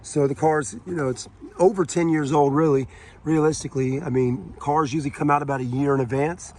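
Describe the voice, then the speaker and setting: A man with a sore throat and a raspy voice, giving a casual, rambling walkaround tour of a car for sale on a dealership lot. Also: fairly high pitch, steady tone, brisk, a little mellow, slight background noise. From South Carolina. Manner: rambling and chatty, many fillers and asides.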